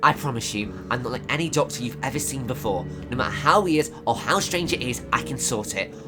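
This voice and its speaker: A woman playing an eccentric, excitable time traveller in a theatrical sci-fi audio drama. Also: a bass voice, brisk, over music. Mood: reassuring. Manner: animated, theatrical.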